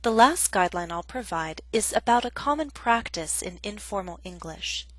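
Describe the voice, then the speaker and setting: A woman teaching an English grammar lesson in a clear, measured, scripted way. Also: medium pitch, expressive.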